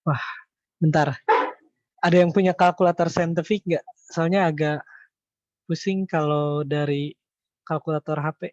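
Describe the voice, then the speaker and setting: Echoey, higher-voiced man tutoring informally. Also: steady tone, darkish tone. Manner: halting, mumbles figures while working, checks with listeners. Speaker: accounting tutor. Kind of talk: informal online lesson, thinking aloud through calculations. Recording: echoey room, dull lo-fi online-call audio.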